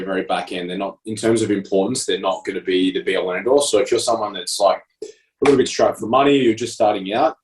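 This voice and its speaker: A man's voice: lowish voice, a little mellow, recorded from a distance, poor audio. A man in his thirties with an Australian accent, co-hosting a coaching podcast in casual, enthusiastic chat. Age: 30s. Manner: enthusiastic, explanatory, fillers like "like" and "obviously". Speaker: prep coach podcast co-host.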